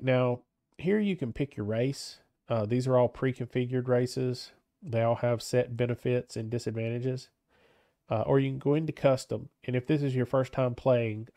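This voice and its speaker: A man with a stuffy, congested voice talks through a strategy game in a loose, meandering way, tripping over his words and circling back. His tone is dark and mellow, and the recording is dry and close, with no room around him. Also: medium pitch, dry recording. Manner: rambling, hesitant with many restarts. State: stuffed up from a cold. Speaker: gaming channel host. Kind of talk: casual let's-play commentary.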